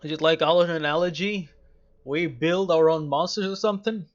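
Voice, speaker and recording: Casual male gamer, fairly high voice, wry asides, slightly dull lo-fi mic with soft music underneath. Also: moderate pace. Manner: wry, reacting aloud in asides. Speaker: video game player commentating. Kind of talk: casual let's play commentary.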